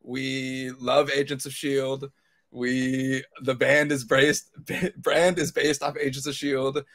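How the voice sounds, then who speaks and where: A man's voice: mid-range voice, level tone, takes it slowly, a bit bright. A man chatting casually and informally as a podcast panelist. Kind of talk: casual chat.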